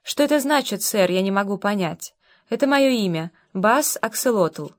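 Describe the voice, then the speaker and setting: A woman's voice: mid-range voice, expressive, normal speed, close to an everyday voice. A woman voicing a shy, polite young British man who speaks hesitantly and deferentially. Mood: puzzled.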